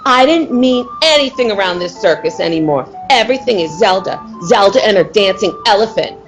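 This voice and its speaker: A woman's voice: medium pitch, lively intonation, brisk, faint music behind, close to an everyday voice. Woman acting a bitter, fiery circus performer. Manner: bitter, snappish. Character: resentful, hot-tempered circus big-cat act woman. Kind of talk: 1940s radio play acting.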